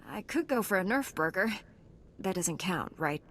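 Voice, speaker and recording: An actress playing an earnest, plucky and dry-witted young heroine in a sci-fi video game. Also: female voice, fairly low pitch, big pitch swings, normal speed, a bit bright, a little hiss or hum. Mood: joking. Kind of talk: acted video game dialogue.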